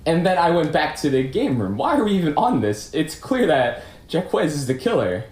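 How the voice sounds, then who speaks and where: A man performs an emotional, defensive suspect in puppet show melodrama. His pitch swings widely and his delivery is halting, in a roomy, echoing space. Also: sing-song, a little mellow. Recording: roomy echo with faint background noise.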